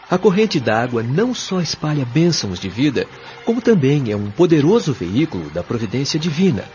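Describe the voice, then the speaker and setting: A Brazilian man playing a kindly, patient spirit guide in an audio drama, explaining things in a measured, didactic, carefully enunciated way. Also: medium pitch, darkish tone, natural voice. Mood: solemn, instructive. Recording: dull lo-fi audio, music behind.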